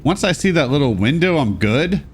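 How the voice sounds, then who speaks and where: A congested young man talks through a game with casual, sarcastic asides. His pitch moves around a lot as he comments. Faint music plays behind him. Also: big pitch swings, moderate pace. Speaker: let's play host. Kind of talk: gameplay commentary.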